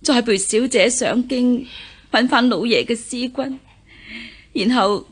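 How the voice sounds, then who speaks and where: An actress playing a grave, caring older lady of the house, giving solemn, measured instructions in a historical radio drama. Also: on the high side, slightly rough. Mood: solemn, instructing.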